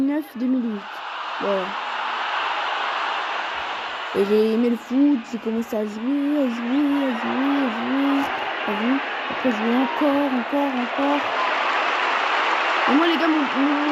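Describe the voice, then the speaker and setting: A young man from Portugal commentating his own football quiz game, excitable and prone to shouting and swearing at opponents. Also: extremely high pitch, heavy background noise. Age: teens. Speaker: gaming youtuber.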